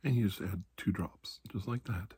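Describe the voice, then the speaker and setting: A man playing a calm, reassuring doctor in an ASMR roleplay, speaking softly and slowly with pauses, often near a whisper, in a breathy, husky voice. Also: lowish voice. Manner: slow, calming, deliberate with long pauses. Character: calm, reassuring older military doctor treating alien infection.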